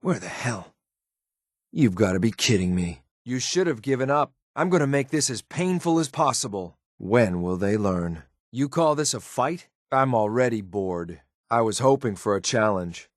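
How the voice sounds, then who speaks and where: A man acting as a stoic, loyal but ruthless synthetic soldier in a video game, with a clipped, military, deadpan delivery. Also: mid-range voice, normal speed, no room sound, clean studio sound, close to an everyday voice. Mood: cold, taunting. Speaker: companion character in a video game. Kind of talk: scripted game voice lines.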